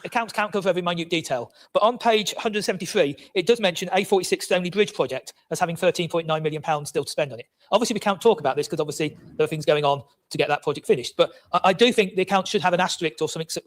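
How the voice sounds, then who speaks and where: A man's voice: high voice, rapid-fire, few pauses. A man speaking as a councillor in a debate, cautious and hedging his points.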